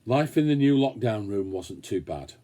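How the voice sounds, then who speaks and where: A man reading a children's story aloud, expressively and with dramatic pauses. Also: lowish voice, lively intonation, warm tone. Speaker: story reader. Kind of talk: scripted children's story narration.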